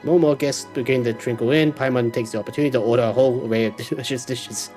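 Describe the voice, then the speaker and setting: A woman's voice: very deep voice, fairly even intonation, quick pace, warm tone. A woman streaming a video game with casual, blunt and sarcastic commentary. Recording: game music faintly behind the voice.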